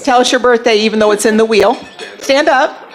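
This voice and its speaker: A woman's voice: a little high, fairly quick, over music, low-quality recording. A woman emceeing a club lunch meeting with casual, chatty announcements and a self-deprecating manner. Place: banquet room at a lunch meeting.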